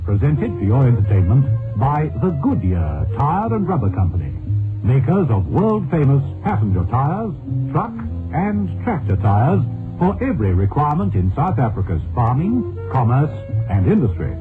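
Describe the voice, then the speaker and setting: A male announcer reads a formal sponsor message in old radio style. His intonation swings very widely, with clear music behind him. The recording is narrow and muffled. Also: medium pitch, sing-song, moderate pace. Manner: formal, declamatory.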